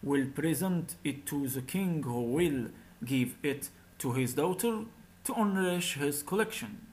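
A man's voice: fairly high pitch, animated, a little slow, a little mellow. A man voicing a poor fisherman hoping for money, read aloud from a storybook. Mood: hopeful.

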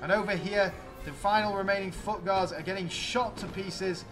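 A man with a high-pitched voice commentates a strategy game battle over music. He speaks in exclamatory play-by-play, talking straight to his viewers. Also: steady tone, moderate pace.

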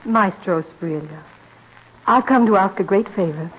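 A woman's voice: mid-range voice, unhurried, breathy edge. A woman playing a young American prima donna in a radio drama, modest, proud and earnest, speaking politely and formally. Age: young adult. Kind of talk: old-time radio drama acting. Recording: muffled vintage radio broadcast.

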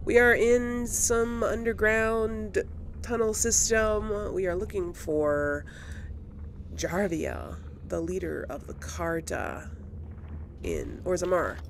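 Female gamer for casual playthrough commentary, slow and gravelly, thinking aloud, faint noise behind. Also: medium pitch. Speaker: gamer, series host. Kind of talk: casual let's play commentary.

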